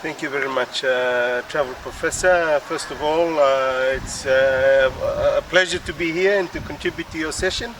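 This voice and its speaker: A man with a Fijian accent explaining things as an interview guest, hesitantly, with many pauses. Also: on the high side. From Fiji. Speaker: interview guest, PhD researcher. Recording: distant mic with faint urban noise.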